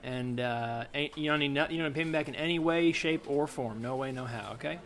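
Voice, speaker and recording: A man playing a kindly older working dad with a folksy, halting way of talking in improvised comedy. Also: fairly high pitch, fairly bright tone. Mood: baffled, kindly.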